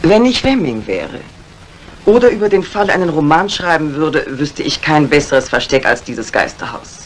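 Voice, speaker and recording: A woman's voice: low-pitched, big pitch swings, normal speed. An actress playing a self-assured, inquisitive lady mystery writer in a retro radio crime drama. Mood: musing. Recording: noisy old radio recording.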